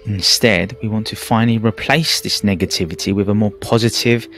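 A man with a fairly low voice leads a guided meditation. His delivery is calm and soothing, with measured phrases and pauses between them. Soft music plays faintly behind him. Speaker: meditation teacher. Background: background music.

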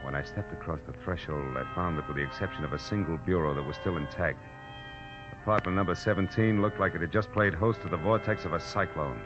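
A man's voice: deep voice, quick pace, natural voice. A man playing a terse, sardonic American private detective in a 1940s radio crime drama, narrating his case and wisecracking. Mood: grim, narrating. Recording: muffled old-time radio recording, music behind.

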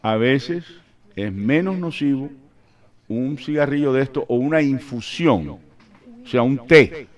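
An older man, chatting and joking as a radio host in a studio, speaking into a studio mic. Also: medium pitch. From Colombia. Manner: animated, joking, rambling. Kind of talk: casual radio chat, storytelling. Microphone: studio mic with headphones.

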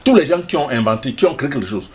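A man's voice: mid-range voice, sing-song, rapid-fire, darkish tone, husky. An older man speaking as a studio guest in a passionate debate, emphatic and stammering on repeated words.

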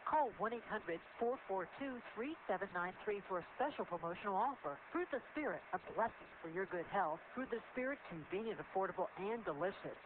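Lively woman reading a radio ad. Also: mid-range voice. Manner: upbeat, persuasive. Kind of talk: scripted radio ad. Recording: tinny audio with noise.

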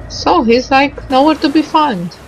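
A woman's voice: high-pitched, expressive, a little slow, slight background noise, poor audio. A woman giving casual, unscripted game commentary, reacting in short broken phrases. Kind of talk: casual game commentary with bits of on-screen text read out.

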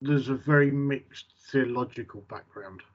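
A middle-aged man with a husky, breathy voice leads an interview, building each question slowly and carefully. He speaks over a webcam video call. The sound is dull and lo-fi. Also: medium pitch. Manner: measured, setting up questions step by step. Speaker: chaplain interviewer.

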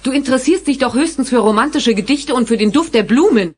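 Casting: reproachful young heroine, cassette radio play; high, swooping, husky-edged, urgent. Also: female voice, animated, fast talker. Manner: urgent, emphatic, dramatic. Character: agitated, reproachful young heroine of a fantasy adventure. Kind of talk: 1980s German audio drama acting.

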